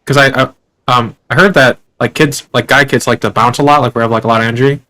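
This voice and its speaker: Fast-talking young man, rambling host. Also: mid-range voice, fairly even intonation, pushed and bright. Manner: rambling, many fillers and restarts. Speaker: podcast host. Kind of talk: casual interview.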